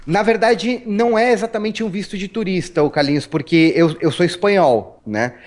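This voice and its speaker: Brief: Paulistano male guest, relaxed storyteller, raised pitch, lots of restarts and "né?". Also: moderate pace. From Brazil. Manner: chatty, storytelling, frequent restarts and tag questions. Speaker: interview guest. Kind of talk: casual interview talk.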